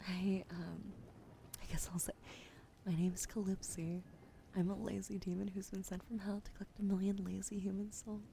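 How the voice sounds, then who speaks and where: A woman's voice: mid-range voice, level tone, normal speed, vocal fry, mellow, a little hiss or hum. A young woman streamer with a wheezy throat, whispering in ASMR style, thanking viewers and swearing casually.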